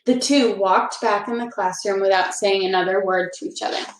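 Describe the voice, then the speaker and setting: A woman hosting a read-aloud for children, speaking in an encouraging, deliberate storytelling manner. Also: mid-range voice, steady tone, a little hiss or hum. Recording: echoey, reverberant room.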